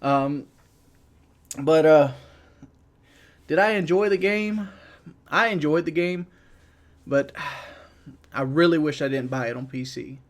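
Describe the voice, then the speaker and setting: A man giving casual, rambling game commentary as a YouTuber, blunt and monotone, with frequent restarts. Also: on the high side, slow, pauses often. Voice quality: self-described monotone.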